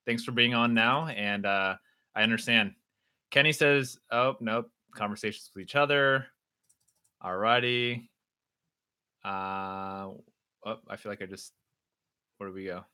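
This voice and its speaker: A man's voice: lowish voice, unhurried, pauses often, sharp tone, smooth. A young man whose first language is Mandarin hosting a casual livestream Q&A, upbeat and teasing, reading viewer comments. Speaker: host. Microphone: video livestream.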